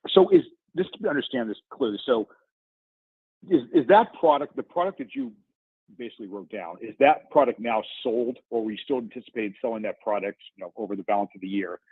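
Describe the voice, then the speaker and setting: A husky-voiced man works as an analyst questioning executives on an earnings call. His phrasing starts and stops, with lively swings in pitch, and he comes through a narrow telephone band. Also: a little fast, dry recording. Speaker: financial analyst asking questions. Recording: narrowband phone line, dry.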